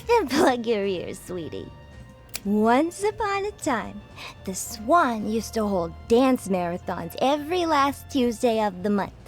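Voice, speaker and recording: High, lively, brash older dame. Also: female voice, takes it slowly, soft background music, exaggerated voice. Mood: teasing, nostalgic. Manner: boastful, teasing, animated. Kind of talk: video game character acting.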